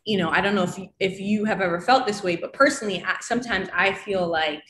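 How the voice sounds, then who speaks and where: A woman's voice: mid-range voice, quick pace, crowd noise. A young woman speaking as an interview guest over a video call, fluent and casual with frequent "like.". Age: young adult. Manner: fluent, uses "like" often, gestures while talking. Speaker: guest, creative strategist. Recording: roomy video call audio.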